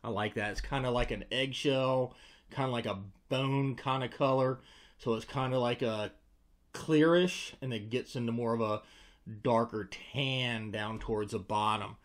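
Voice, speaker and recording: An American man in his forties with a medium-pitched voice talks casually to camera as a review host. His delivery is informal and conversational. He is recorded indoors in a small room on a desk microphone. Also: fairly even intonation, a little slow. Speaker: host, fishing lure reviewer. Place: small indoor room with tackle-covered walls. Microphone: desk microphone beside him.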